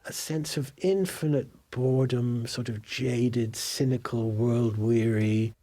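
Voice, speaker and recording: Drama producer, male, mid-range husky voice, interviewed in a dry space, explaining. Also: mid-range voice, fairly even intonation, takes it slowly, mellow, no room sound.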